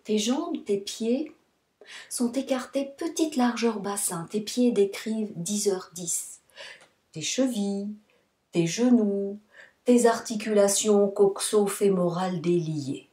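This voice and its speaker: Slow, roomy-voiced woman guiding relaxation. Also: medium pitch, mellow. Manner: soothing, deliberate, short phrases with pauses. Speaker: yoga nidra instructor. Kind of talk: guided relaxation meditation, spoken instructions. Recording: roomy, echoing sound.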